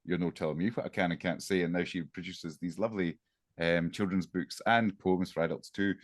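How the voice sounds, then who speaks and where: A Scottish man hosting an interview, friendly and encouraging in a relaxed chat. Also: low voice, brisk, dry recording. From Scotland. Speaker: podcast host, interviewer.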